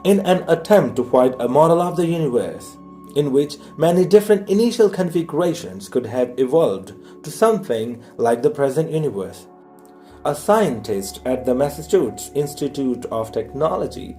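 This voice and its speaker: A man reading a science audiobook aloud in a measured, explanatory way. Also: fairly high pitch, dark tone. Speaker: audiobook reader. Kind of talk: scripted narration, reading a science book aloud.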